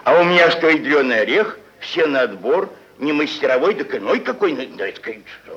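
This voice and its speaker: Stubborn haggling landowner, animated, vintage recording. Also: male voice, a little high, fairly quick. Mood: boastful. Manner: emphatic, repetitive, folksy haggling. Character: stubborn, blunt, haggling male landowner selling dead serfs. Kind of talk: old Soviet stage-style radio acting. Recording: dull vintage archive radio recording.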